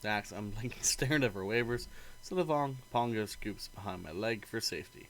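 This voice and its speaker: A man's voice: fairly low pitch, animated, normal speed, slight background noise. A man reading a visual novel aloud in a let's play, narrating the story and acting out the dialogue expressively.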